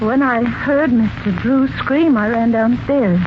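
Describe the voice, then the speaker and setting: Woman acting an anxious high-voiced lady witness. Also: unhurried, close to an everyday voice. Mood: frightened, defensive. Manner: hesitant, emotional. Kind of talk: 1940s radio mystery acting. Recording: noisy dull 1940s radio recording.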